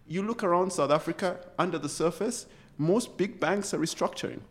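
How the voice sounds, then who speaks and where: A man's voice: sits high, fairly quick. A middle-aged man from Uganda, an executive guest speaking earnestly and passionately in an interview, often restarting his sentences. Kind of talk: conversational interview.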